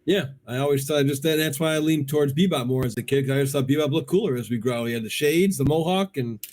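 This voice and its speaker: A man's voice: medium pitch, fast talker, darkish tone. A middle-aged man hosting a cartoon countdown show, chatting casually and enthusiastically as a fan.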